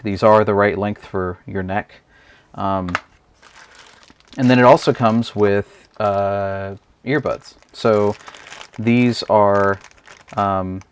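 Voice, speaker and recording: A man casually reviewing a gadget as he demonstrates it, thinking aloud with frequent ums and uhs. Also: on the low side. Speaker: product reviewer. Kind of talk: casual unscripted product demo.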